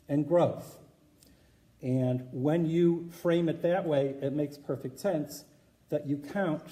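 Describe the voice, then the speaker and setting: Mellow-voiced rabbi addressing a congregation. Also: male voice, fairly high pitch, poor audio. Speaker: rabbi introducing a speaker. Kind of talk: public talk to a congregation. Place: synagogue hall.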